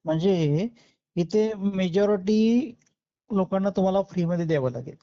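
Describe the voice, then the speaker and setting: A woman speaks in a mellow, mid-pitched voice on a muffled phone recording. She questions persistently and challenges points in an informal debate. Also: normal speed. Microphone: recorded phone call. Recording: dull, dry lo-fi call recording.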